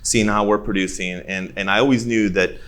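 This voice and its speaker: A man's voice: fairly low pitch, steady tone, fairly quick, fairly bright tone. A man on a conference panel giving emphatic, earnest advice to a room full of people.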